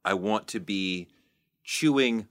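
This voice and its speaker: A man's voice: on the low side, slow, clear, resonant tone, no echo, clean studio sound. A man hosting a podcast interview, chatty and playfully teasing his guest. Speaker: podcast host. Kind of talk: casual interview chat.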